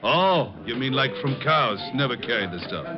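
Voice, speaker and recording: Puzzled radio-drama barkeep over loud music, a man acting. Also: medium pitch, very animated, normal speed, close to an everyday voice. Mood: baffled. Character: slow-witted, puzzled barkeep. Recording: muffled old radio recording, loud music.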